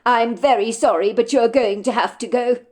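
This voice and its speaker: Woman reading male landlord, apologetic, reluctant, measured, regretful, storybook. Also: on the high side, darkish tone, lightly acted.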